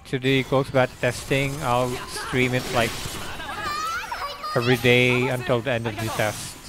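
A young man talks slowly and casually while streaming gameplay, with clear music behind him. His delivery is halting and distracted, as if half his attention is on the game. He sits close to the microphone in a small room. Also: medium pitch, flat delivery. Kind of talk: casual live gameplay commentary. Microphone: close desk microphone.

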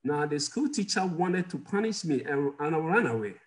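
A man's voice: fairly high pitch, big pitch swings, normal speed. A middle-aged man from Ghana, a guest doctor telling personal stories in an interview over a video call from a home office. He chuckles often and puts questions to his audience.

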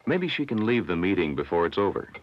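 An American man narrates an educational film from the 1960s over background music. His low voice moves with lively intonation and a measured, instructive delivery. The soundtrack is dull and lo-fi. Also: slight background noise.

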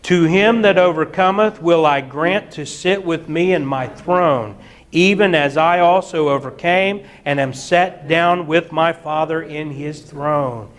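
Animated middle-aged preacher, higher-pitched man. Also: unhurried. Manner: animated, gesturing, mock-singing and bursts of laughter. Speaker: pastor. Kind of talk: sermon. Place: church hall with projector screen.

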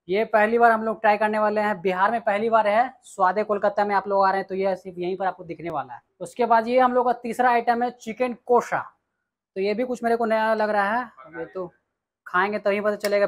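A man's voice: sits high, fairly even intonation, fairly quick. A young man from Bihar hosting a casual food vlog, talking straight to viewers as he tastes dishes and recommends them. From Bihar, India. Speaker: food vlogger. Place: small indoor restaurant dining room.

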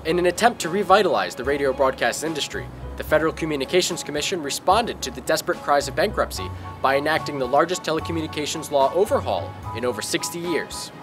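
A man's voice: a little high, expressive, quick pace. A young man presenting scripted documentary narration outdoors. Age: young adult. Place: outdoors, town street. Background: music, crowd.

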